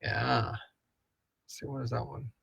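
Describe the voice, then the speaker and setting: A man's voice: medium pitch, fairly even intonation, unhurried, vocal fry, a bit bright, slightly rough, dry recording. A man co-hosting a live podcast Q&A in an informal, rambling, jokey way, casually reading out and answering listener questions. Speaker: co-host and producer of an audio drama podcast.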